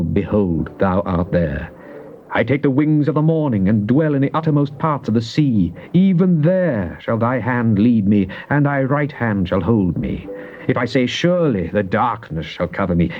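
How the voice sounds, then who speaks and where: An actor playing a fervent psalmist, solemn and theatrical in a dramatized Bible reading. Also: male voice, mid-range voice, lively intonation, moderate pace, natural voice. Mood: awed. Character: fervent psalmist addressing God in prayer and lament. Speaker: scripture reader. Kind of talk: dramatized Bible reading, British stage delivery. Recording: muffled, noisy old cassette tape.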